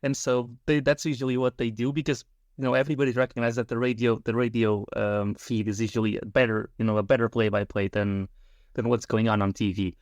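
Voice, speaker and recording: Twenty-something dude co-hosting a podcast, mid pitch, dry close sound, chatty. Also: male voice, flat delivery, brisk, darkish tone, dry recording. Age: 20s. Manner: chatty, tangent-prone with fillers. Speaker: podcast co-host. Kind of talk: casual interview questions. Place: Portugal.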